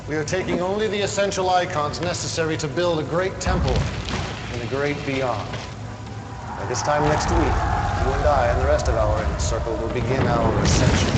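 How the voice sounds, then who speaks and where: An actor playing a calm messianic male cult leader who speaks in a grandiose, measured and prophetic way. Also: medium pitch, lightly acted. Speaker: leader of the brotherhood. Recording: echoey with loud game noise.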